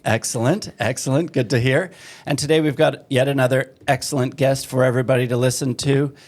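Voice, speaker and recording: A man's voice: medium pitch, fairly even intonation, fairly quick, a little mellow. A man hosts a casual podcast in a mid-range voice, keeping things light. He asks his guest upbeat, friendly questions and teases him now and then. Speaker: podcast host.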